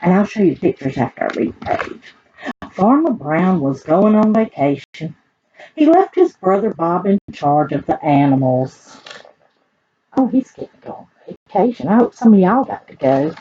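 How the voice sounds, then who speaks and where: A woman's voice: fairly low pitch, very animated, moderate pace, warm tone, highs cut off, slight background noise. A woman with a Southern US accent reading a children's picture book aloud, chatting in folksy asides and putting questions to her young listeners. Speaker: library storyteller.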